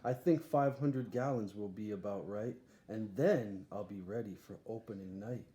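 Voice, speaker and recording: A man's voice: on the low side, moderate pace, warm tone, pitched below the performer's own voice, close to an everyday voice. A man voicing an eager, boastful boy showman in a storybook, barking grandly like a ringmaster. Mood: breezy, assured. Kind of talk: storybook read-aloud character. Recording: echoey room, faint background noise.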